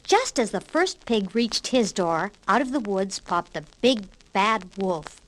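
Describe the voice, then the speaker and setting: A woman reading a scripted children's read-along story with expressive, emphatic delivery, on an old vinyl record. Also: mid-range voice, measured pace, low-quality recording. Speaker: storybook narrator. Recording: 1960s vinyl record with hiss and pops.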